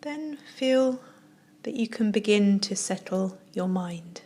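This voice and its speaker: A woman yoga teacher leading a guided relaxation, speaking softly and soothingly in short phrases with long pauses. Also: medium pitch, expressive. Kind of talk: guided meditation, yoga nidra narration.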